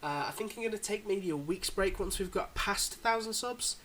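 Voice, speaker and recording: A man's voice: sits high, fairly quick, fairly bright tone, slight background noise. A man giving a casual, hesitant update to his followers as a channel creator, sounding slightly under the weather. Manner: informal, hesitant. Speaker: ASMR voice performer, channel creator.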